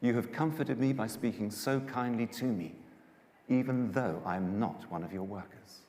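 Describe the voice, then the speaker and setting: A man's voice: mid-range voice, normal speed, a little mellow, natural voice. A man voicing a humble, dutiful young daughter-in-law in a dramatised Bible story, deferential and grateful. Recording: echoey hall reverb.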